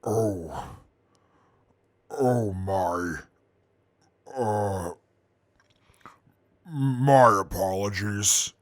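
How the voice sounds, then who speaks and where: A man voicing a huge male supermutant who speaks slowly and formally. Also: on the low side, no room sound. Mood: sheepish. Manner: slow, formal, apologetic.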